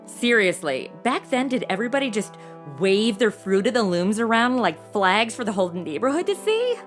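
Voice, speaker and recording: A woman's voice: mid-range voice, very animated, moderate pace, faint music behind, natural voice. A young woman playing a sassy, impatient modern twenty-something in a comedic audio drama, with a sarcastic, snarky delivery. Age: young adult. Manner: sarcastic, snarky, exaggerated reactions.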